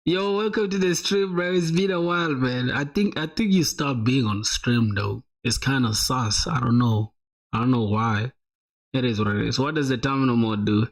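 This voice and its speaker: A man's voice: medium pitch, moderate pace. A young man live-streaming, reviewing viewers' websites in a casual, slangy way, full of "bro" and "my boy".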